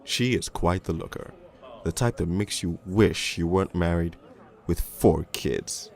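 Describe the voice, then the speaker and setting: A man playing a crude, cynical police detective, narrating a crime storybook in the first person in a gravelly, world-weary, deliberate way. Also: deep voice, a little mellow. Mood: wry, sardonic. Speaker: narrator and lead voice actor in an animated storybook.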